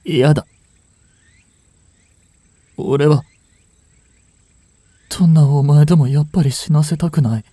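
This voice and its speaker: A man acting a breathy, anguished young policeman. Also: a little high, warm tone, dry recording. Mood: desperate, pleading. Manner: halting, emotional delivery with sighs and pauses. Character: anguished young male police officer, devoted boyfriend. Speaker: voice actor in a boyfriend audio drama. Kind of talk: dramatic Japanese situation voice acting.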